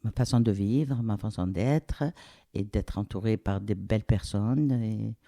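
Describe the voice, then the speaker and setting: A middle-aged woman from Algeria, speaking reflectively and pausing to search for words in a casual interview. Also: a bass voice, vocal fry, warm tone, dry recording, clean studio sound. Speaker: interviewee, the host's mother.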